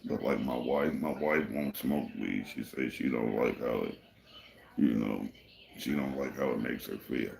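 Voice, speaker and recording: Laid-back male livestream host, very low gravelly voice, echoey room, rambling delivery. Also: a little slow, darkish tone. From Michigan, USA. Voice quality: deep gravelly voice, people say it suits radio. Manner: laid-back and rambling, many "you know" fillers. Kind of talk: casual livestream chat answering viewers.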